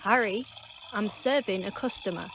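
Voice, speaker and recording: A woman's voice: medium pitch, normal speed, a little hiss or hum, natural voice. A woman acting a prickly, defensive young solicitor who works behind a bar, in an amateur audio drama, curt and indignant. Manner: curt, indignant, sharp-tongued.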